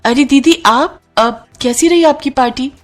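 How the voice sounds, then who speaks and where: A woman's voice: sits high, a little slow, faint music behind, voice pushed up. A woman voicing a humble, eager young woman in a cartoon story, speaking in a sweetly earnest, deferential and hesitant way. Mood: eager, warm. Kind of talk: Hindi cartoon story dialogue.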